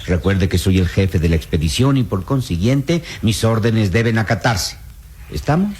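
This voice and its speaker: An actor playing an elderly, idealistic professor in a radio serial, earnest and formal. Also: male voice, lowish voice, animated, moderate pace, a little mellow, slight background noise, close to an everyday voice. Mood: firm, authoritative. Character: elderly idealistic male professor, principled, longing father. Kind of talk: old radio serial acting.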